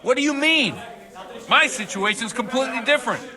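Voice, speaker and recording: A man's voice: very high voice, animated, fairly quick, pushed and bright, exaggerated voice. A man acting a hard-drinking, rebellious village priest in a video game, preachy and fervent in his delivery. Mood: defensive, worldly.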